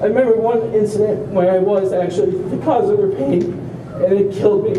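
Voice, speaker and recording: A young man giving an earnest, heartfelt speech at a community walk, slightly halting as he speaks. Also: sits high. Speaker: family member speaker at an awareness walk. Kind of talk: prepared speech to a crowd. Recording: echoey, distant, noisy event recording.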